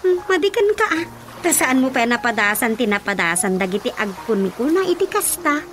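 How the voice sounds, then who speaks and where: Opinionated woman, Ilocano accent, high and very animated, preachy, emphatic radio drama delivery. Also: moderate pace, noisy background. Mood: scolding, emphatic. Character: opinionated woman earnestly lecturing about marriage.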